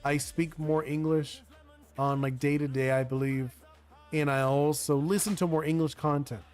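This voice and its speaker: A man's voice: a little high, level tone, normal speed, a little mellow. A young man whose first language is Spanish, chatting casually on a live stream while he designs a card game, rambling with lots of "like" and restarts. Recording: faint music behind the voice.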